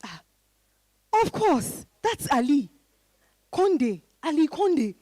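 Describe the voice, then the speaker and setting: Actress as an animated, high-voiced independent Ghanaian career woman. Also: slow pace, dry recording, clean studio sound, full character voice. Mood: excited, sociable. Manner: animated, emphatic, exclaiming. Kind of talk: dramatized reading of a novel.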